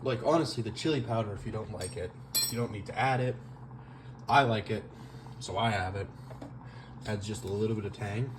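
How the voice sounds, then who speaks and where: A man's voice: fairly low pitch, a little fast, long pauses, noisy background. A young man casually cooking a stew and rambling in a self-deprecating way, full of fillers, recorded in his home kitchen on a camera mic that he sometimes turns away from. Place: home kitchen, moving around the stove.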